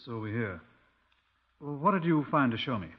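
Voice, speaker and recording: A courteous, composed detective inspector of 1950s radio drama. He speaks in a measured, formal way with a slightly husky grain. The recording is old and muffled. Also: medium pitch, animated. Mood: polite, curious. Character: composed male Scotland Yard inspector, polite, inquiring.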